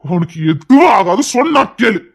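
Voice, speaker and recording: An actor playing a stubborn, controlling husband and anxious father-to-be in an emotional melodrama, insistent and repetitive, moving between hushed coaxing and agitated scolding. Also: very high-pitched, big pitch swings, normal speed, gravelly, full character voice. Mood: agitated pleading.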